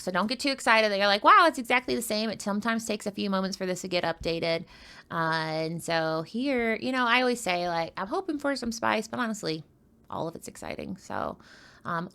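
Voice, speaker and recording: Female livestream commentator from the United States, mid-pitched, casual and playful, prone to rambling asides and banter. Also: sharp tone. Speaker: livestream card tournament commentator.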